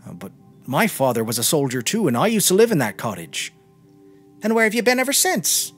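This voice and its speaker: A man reads as a young sailor in a storybook tale, full of insistent questions. The voice is raised and very expressive in pitch. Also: fairly quick, breathy edge, faint music behind, heavily acted. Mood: probing, surprised. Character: young seafaring man, inquisitive, returning home.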